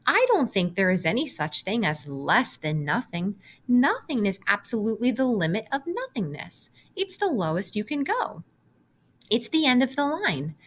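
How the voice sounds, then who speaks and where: A woman's voice: fairly high pitch, very animated, normal speed. A woman voicing a young, lonely male pig in a storybook, earnest and emphatic. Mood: indignant. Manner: pleading, argumentative, emphatic.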